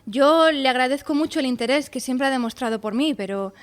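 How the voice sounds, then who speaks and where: An actress plays an earnest young woman in a radio drama. Her voice is clearly high, and she speaks with determination in a theatrical style. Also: animated, a little fast. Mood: earnest, resolute.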